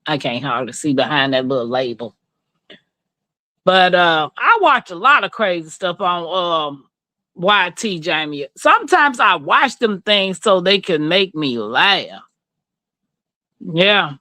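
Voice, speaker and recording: Southern lady chatting on a livestream, slow talker, bright voice, pretty dry sound. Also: on the low side, sing-song, dry recording. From Mississippi, rural southern United States. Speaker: livestream host. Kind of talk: casual livestream chat answering viewers.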